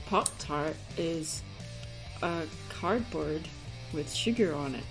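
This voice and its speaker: A woman's voice: low-pitched, slow pace, rough recording. A woman hosting an art livestream in casual, jokey, informal chat. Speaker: livestream host and artist taking commissions. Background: guitar music playlist.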